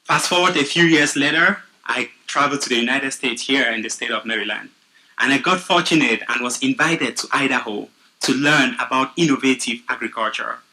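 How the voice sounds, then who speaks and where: A young man from Cameroon with a Cameroonian accent, earnestly pitching his nonprofit in a prepared speech. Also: brisk, creaky, rough recording. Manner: earnest, steady storytelling.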